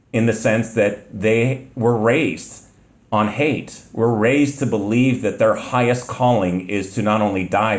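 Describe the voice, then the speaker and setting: A man speaking in an earnest, measured, reflective way as a podcast guest. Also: lowish voice, echoey room. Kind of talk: podcast interview.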